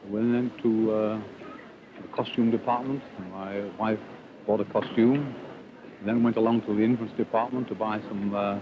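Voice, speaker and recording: Low-voiced older man, muffled noisy recording. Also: fairly even intonation, normal speed. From Northumberland, England. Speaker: shopper being interviewed. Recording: muffled 1950s newsreel, noisy shop floor.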